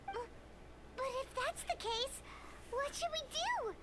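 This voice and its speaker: Squeaky, very high childlike fairy. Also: female voice, expressive, slow, background noise, heavily acted. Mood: worried, surprised. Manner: squeaky, cutesy, exclamatory. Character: tiny childlike female fairy companion, excitable, speaks in third person. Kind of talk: scripted video game dialogue.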